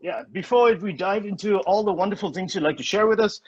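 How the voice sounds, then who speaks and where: A man's voice: high voice, brisk, darkish tone, slightly rough. A man hosting a live online talk show, effusive and enthusiastic. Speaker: host. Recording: dull lo-fi sound.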